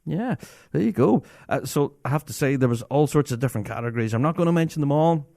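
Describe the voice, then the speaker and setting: Lively, enthusiastic man. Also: on the high side, fast, vocal fry, no room sound, clean studio sound. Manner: enthusiastic, chatty, laughs often. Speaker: podcast host. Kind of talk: casual solo podcast talk.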